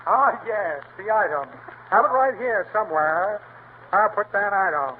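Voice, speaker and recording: A pompous, blustering con man from a 1940s radio comedy, high-pitched and full of swooping flourishes. He talks in grand, mock-tragic puns. The sound is tinny, like an old radio broadcast. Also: lively intonation, a little slow, a little hiss or hum.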